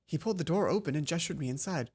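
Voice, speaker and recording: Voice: mid-range voice, animated, rapid-fire, slightly rough. A let's player reading visual novel narration aloud, stumbling and rereading lines, with casual asides. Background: soft game music.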